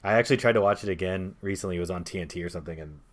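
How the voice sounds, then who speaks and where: Low-pitched man chatting on a podcast. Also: very fast. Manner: informal, slangy. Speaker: podcast co-host. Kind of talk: casual chat about movies.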